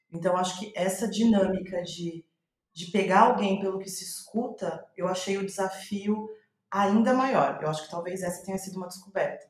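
Brazilian woman in an echoey room, thinking aloud. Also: mid-range voice. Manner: thinking aloud, halting and searching for words. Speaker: playwright, interview guest. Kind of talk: reflective interview answers, spontaneous. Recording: roomy, echoing sound.

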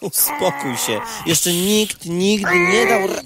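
A man's voice: high voice, takes it slowly, background noise. A man playing a grumpy, sarcastic, defeatist male mosquito in a comic audio drama, whining in crude everyday speech. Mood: weak, disbelieving. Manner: whiny, sarcastic, crude colloquial griping.

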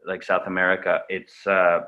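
A Canadian-raised actor whose first language was German, chatting casually in an interview with lots of fillers and restarts. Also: male voice, deep voice, moderate pace, lo-fi sound. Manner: relaxed, rambling with many fillers and restarts.

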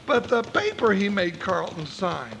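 A very high, bright voice carries this excitable American cowboy sidekick. He is folksy, loyal and full of bluster, in the style of old Western radio, on a faintly noisy recording. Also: unhurried, gravelly, dull audio, full character voice. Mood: folksy, puzzled. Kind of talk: 1950s Western radio acting.

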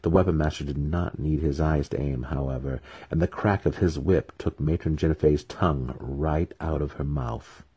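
A man giving a dramatic scripted narration of a fantasy audiobook in a husky voice. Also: rumbling low, normal speed, few pauses, dark tone.

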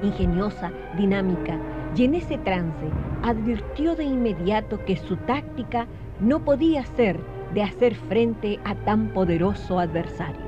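A woman narrating a scripted historical radio drama in a solemn, measured way. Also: mid-range voice, lightly acted. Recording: dull lo-fi radio recording with music.

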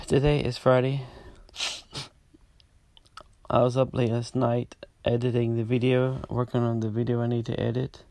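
A man hosting his own podcast, talking casually about his week, congested and halting with many restarts. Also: mid-range voice, little pitch movement, creaky, dark tone, no echo. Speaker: podcast host. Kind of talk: casual personal update.

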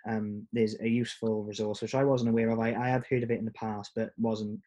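A man's voice: on the low side, flat delivery, a little fast, mellow. A man hosting a casual recorded chat over a video call, hesitant and full of ums. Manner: hesitant, many ums and fillers. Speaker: host.

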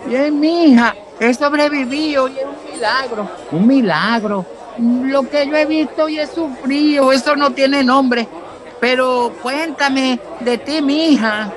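A man playing a gruff, emotional, middle-aged Venezuelan father in a radio soap opera, talking bluntly and colloquially. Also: very high voice, slow pace. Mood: weary, emotional. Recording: busy crowd background.

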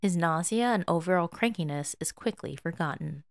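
A woman reading fiction aloud as a podfic narrator in an expressive, scripted storytelling style. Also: on the low side, moderate pace, creaky, no room sound, clean studio sound.

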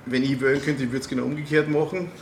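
A middle-aged man with an Austrian accent, interviewing a guest in a hesitant, measured way with many fillers. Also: medium pitch, level tone, roomy sound, slight background noise. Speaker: interviewer and music booker. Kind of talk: casual interview chat.

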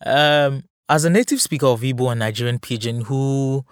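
Male podcast host, mid-pitched, dry sound without room echo, formal interview questions. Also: normal speed, clear, resonant tone.

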